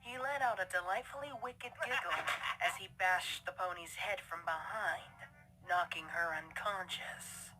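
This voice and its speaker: Low, bright-voiced woman narrating. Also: unhurried, soft background music. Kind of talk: scripted story narration.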